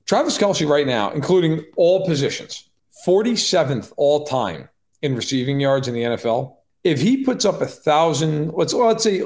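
A man giving quick, opinionated sports analysis as a podcast guest, with wry joking asides. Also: on the high side, darkish tone. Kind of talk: casual sports analysis chat. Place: live-streamed podcast.

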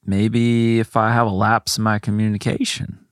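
A man's voice: on the low side, level tone, normal speed, clear, resonant tone, no echo. A man co-hosting a casual podcast, giving dry, wry short replies. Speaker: podcast co-host. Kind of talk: casual chat.

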